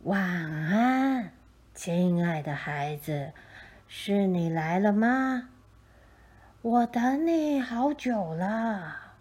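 A woman voicing a kind, dignified old man in a storybook, speaking gently and slowly. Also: mid-range voice, animated, breathy edge, heavily acted. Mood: gentle, welcoming. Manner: slow, drawn-out, gentle delivery.

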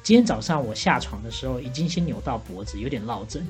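Taiwanese woman, deep for a female voice, brisk casual podcast banter, detailed anecdotes, soft music underneath. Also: lively intonation. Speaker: podcast co-host playing bartender. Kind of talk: casual comic chat. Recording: faint music behind. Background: faint background music.

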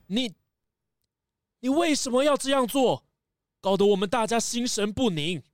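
A man's voice: very high-pitched, expressive, moderate pace, dry recording, studio quality. An actor playing a stern tycoon father in a children's audio drama. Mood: indignant. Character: stern, indignant father, a tycoon who softens. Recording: loud music behind.

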